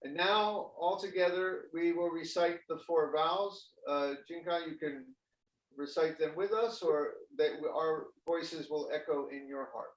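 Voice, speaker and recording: A man leading a Zen ordination ceremony, speaking gently and formally with a few halting fillers. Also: on the high side, steady tone, muffled recording. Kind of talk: ceremonial officiating speech. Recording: echoey and distant with faint noise.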